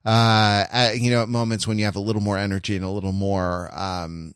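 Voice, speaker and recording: A man hosting a podcast in casual, reflective chat, rambling and halting as he circles back over his phrases. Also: fairly low pitch, flat delivery, a bit bright, clear, resonant tone, no room sound, clean studio sound. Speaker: podcast host.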